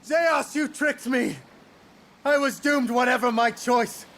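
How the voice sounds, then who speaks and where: Defiant young warrior prince in an epic game: very high, strained, forceful, impassioned declaiming. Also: male voice, slow pace, heavily acted. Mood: furious, defiant.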